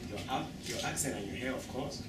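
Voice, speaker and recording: A man's voice: mid-range voice, lively intonation, a little fast, lightly acted. Brief: young man as a blunt, prejudiced ticket inspector, heard from afar in a noisy hall. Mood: dismissive. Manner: blunt, dismissive. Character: rude, prejudiced male train ticket inspector. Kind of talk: amateur stage skit. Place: large hall, live stage. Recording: echoey hall, distant mic, noisy.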